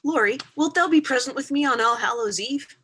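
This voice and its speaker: A woman's voice: high voice, fairly quick, fairly bright tone, breathy edge. A woman playing an eager, curious young child in a theatrical staged reading in mock-Shakespearean English.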